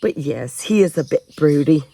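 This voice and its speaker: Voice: mid-range voice, animated, normal speed, gravelly, mellow. A narrator voicing a kindly, motherly housekeeper who fusses politely and fondly in a storybook. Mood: matter-of-fact. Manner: affectionate, fussing, polite. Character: kindly motherly housekeeper, gently scolding, fond.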